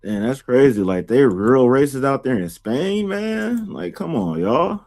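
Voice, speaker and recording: A man's voice: medium pitch, big pitch swings, a little slow, a little mellow. A man giving slangy commentary, talking straight to viewers. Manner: slangy, addresses viewers directly. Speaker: show commentator. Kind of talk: commentary to camera.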